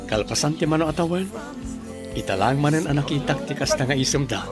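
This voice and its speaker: A man's voice: on the high side, expressive, a little fast. A man playing a young husband in a comedy radio drama, speaking earnestly. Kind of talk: Ilocano radio drama acting. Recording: loud music bed behind.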